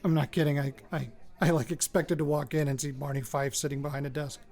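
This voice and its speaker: A man's voice: fairly high pitch, little pitch movement, quick pace, a little mellow, natural voice. A man with an American accent playing a wry radio reporter in an audio drama, rambling hesitantly into his recorder and interrupting himself. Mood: wry, chatty. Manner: hesitant, self-interrupting, wryly joking. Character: rattled, wry young man, radio reporter returning home.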